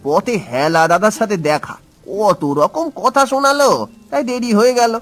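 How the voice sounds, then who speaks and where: A man voicing a poor village farmer and father in a folk-tale cartoon, gentle and humble. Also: high-pitched, very animated, normal speed, faint music behind. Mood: affectionate. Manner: affectionate, humble.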